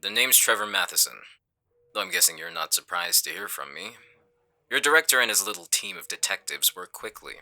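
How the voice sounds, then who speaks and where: A man playing a calculating, menacing villain in an audio roleplay, with a smug, condescending, deliberate delivery. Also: deep voice, expressive, sharp tone, exaggerated voice. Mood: smug, taunting. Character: smooth-talking male villain, calculating, menacing leader. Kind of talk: audio roleplay acting on a phone call.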